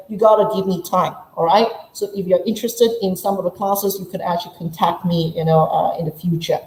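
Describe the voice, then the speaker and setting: A woman's voice: medium pitch, a little mellow, reverberant, rough recording. A woman English teacher from Malaysia with a Malaysian English accent, giving a chatty workshop lesson and playing out her students' questions.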